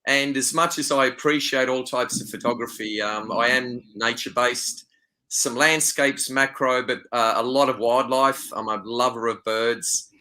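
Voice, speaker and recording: Upbeat Australian man, bright voice. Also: mid-range voice, steady tone, normal speed, poor audio. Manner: upbeat, chatty. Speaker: wildlife photographer and guest expert. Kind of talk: casual interview talk.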